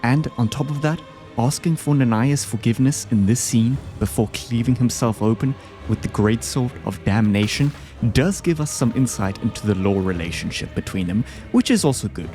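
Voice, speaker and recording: A man's voice: mid-range voice, normal speed, mellow. A man hosting a video, giving casual, opinionated commentary in an informal, slangy way. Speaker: video host ranking game bosses. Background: background music.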